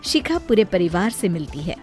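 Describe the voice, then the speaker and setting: A woman's voice: fairly low pitch, big pitch swings, moderate pace, a little mellow, faint music behind. A woman reading scripted story narration as the narrator of a family tale.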